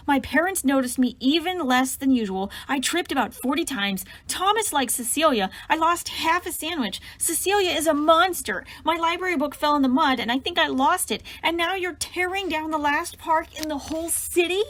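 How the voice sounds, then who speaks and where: A woman's voice: high-pitched, moderate pace, hardly any pauses, a bit bright, voice pushed up. Woman doing a shy, teary little girl. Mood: upset. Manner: earnest, strained, overly polite. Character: shy tearful bird-loving young girl.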